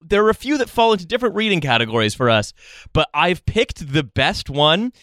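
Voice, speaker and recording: A man's voice: on the high side, very animated, brisk, fairly bright tone, dry recording, studio quality. A man hosting a podcast, chatting casually about the news in a sarcastic, satirical way. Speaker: podcast host.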